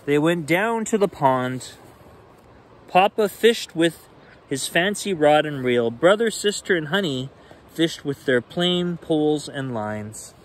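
High-voiced man reading slowly to children. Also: lively intonation. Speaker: storyteller reading children's bedtime stories. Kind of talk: expressive read-aloud of a picture book. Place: outdoors on a rainy forested shore. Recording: outdoor ambient noise.

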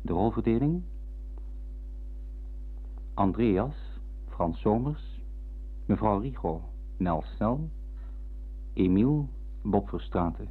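Male radio announcer, formal credit read, husky lowish voice, thin vintage sound. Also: expressive, slow pace, long pauses. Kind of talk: formal scripted closing credits. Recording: muffled, lo-fi old radio recording.

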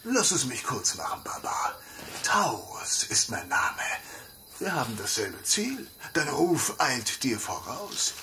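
An actor playing a sly, jovial, boastful big-bellied master thief, theatrical and conspiratorial, in a fantasy audio drama. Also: male voice, medium pitch, big pitch swings, slow, crowd noise. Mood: sly, cautious.

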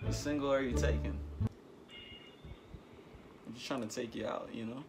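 Playful young man over loud music. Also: mid-range voice, steady tone, normal speed. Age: young adult. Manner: playful, repeats phrases. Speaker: prank caller. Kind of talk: casual prank-call banter. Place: small bedroom, indoors. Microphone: camera a short distance away.

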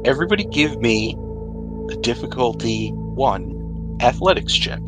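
A man's voice: mid-range voice, a little slow, music in the background. Laughing male game master. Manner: laughs often, relaxed joking. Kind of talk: narrating a tabletop game.

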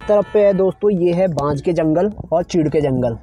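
A man's voice: on the high side, moderate pace, mellow, faint music behind. A young man chatting casually to his viewers while filming a road trip vlog on a phone held at arm's length, feeling a little queasy. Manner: chatty, addresses viewers as friends. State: queasy from travel sickness. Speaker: vlogger. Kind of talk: casual travel vlog narration. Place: in a car on mountain roads, then outdoors at a busy temple.

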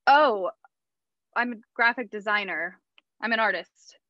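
A woman's voice: medium pitch, measured pace, dry recording, close to an everyday voice. A woman playing a polite, eager but bewildered new employee in a stage play reading, speaking hesitantly. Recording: muffled, dry video call.

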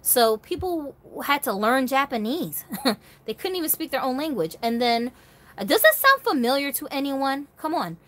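A woman's voice: high voice, big pitch swings, normal speed, sharp tone. A woman hosting a vlog, talking casually and emphatically to viewers, often checking that they follow her. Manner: emphatic, conversational, seeks agreement with rhetorical questions. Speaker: host of a doll collectors' channel.

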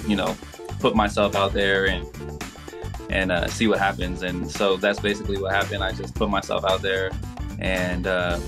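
Low-voiced, relaxed man on a video call. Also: normal speed, over music. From Sacramento, California. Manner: relaxed, rambling with fillers and restarts. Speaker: interview guest, singer. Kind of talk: casual video-call interview. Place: indoor room.

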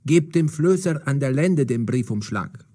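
Man playing a guarded, animated young street musician. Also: medium pitch, a little slow. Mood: wary warning. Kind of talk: audio drama dialogue.

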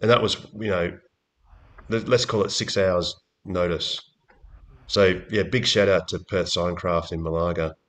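A man's voice: low-pitched, steady tone, a little slow, a bit bright. A man in a casual group chat, explaining things in a technical, explanatory way as a podcast panel host.

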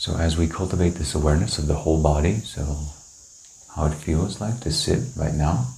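A man leading a guided meditation, giving calm, gentle, unhurried instructions with long pauses. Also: a bass voice, creaky, slight background noise. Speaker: meditation teacher. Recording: roomy, echoing indoor sound.

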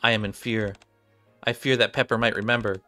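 Bright-voiced man reading game dialogue. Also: fairly low pitch, fairly even intonation, fairly quick. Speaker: game streamer. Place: small room at home. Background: game music, keyboard typing.

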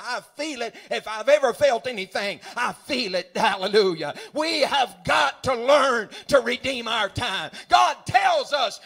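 A middle-aged man preaching a sermon in a folksy, rambling way, full of hallelujahs and rising to shouts. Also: very high voice, vocal fry, slightly rough. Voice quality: folksy, rambling, repeats words. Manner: building to shouts, frequent hallelujahs.

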